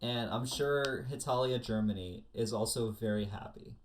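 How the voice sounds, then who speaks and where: A podcast co-host chatting casually about anime, with animated emphasis and plenty of "like" as filler. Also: mid-range voice, normal speed, a little hiss or hum.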